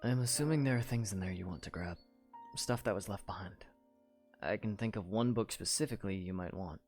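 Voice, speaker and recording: A man voicing a young male hero in a fan audio drama, earnest and protective, speaking gently and with care. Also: lowish voice, faint music behind, close to an everyday voice. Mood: practical. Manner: gentle, caring, measured. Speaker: voice actor.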